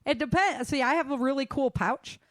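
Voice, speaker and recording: A woman's voice: high voice, fairly quick, crowd noise. A woman hosting a talk show, giving casual, emphatic advice straight to her listeners. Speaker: host of a self-defense and survival show.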